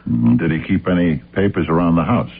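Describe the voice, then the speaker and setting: An actor playing a hard-nosed, relentless investigator in a radio drama, questioning in a probing, accusatory, clipped way. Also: male voice, sits low, fairly quick, creaky, breathy edge, exaggerated voice. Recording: muffled narrow-band old radio sound.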